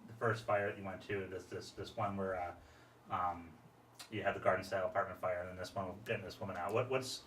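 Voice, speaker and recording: A man's voice: low voice, fairly even intonation, fast talker, a bit bright. An American man hosting a casual interview, rambling through questions and acting out imagined quotes. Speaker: podcast host, interviewer. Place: podcast studio, indoors. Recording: roomy echo, distant mic, faint noise.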